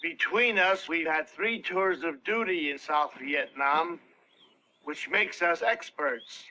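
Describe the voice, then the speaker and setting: Calm master sergeant, old war film, bright voice, faint hiss. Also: male voice, a little high, takes it slowly, dull audio, full character voice. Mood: composed. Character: steady male army master sergeant briefing press. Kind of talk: 1960s war film acting. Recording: poor film clip played back.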